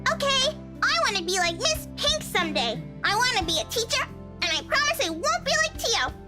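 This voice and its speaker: A woman's voice: extremely high pitch, big pitch swings, brisk, voice pushed up. A woman voicing a sweet, earnest little girl in a visual novel, guileless and childlike. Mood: innocent, earnest. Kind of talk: visual novel character voice-over. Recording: prominent music behind the voice.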